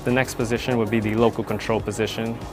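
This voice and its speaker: A man narrating an explanatory tour as an air traffic controller, in a measured, semi-scripted way. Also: lowish voice, little pitch movement, over music.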